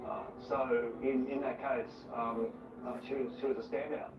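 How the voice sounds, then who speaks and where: Older male interviewee, medium pitch, measured and hesitant, muffled sound from off mic. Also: level tone. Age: 50s or older. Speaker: interviewee recalling the past. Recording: echoey, dull, noisy, distant mic.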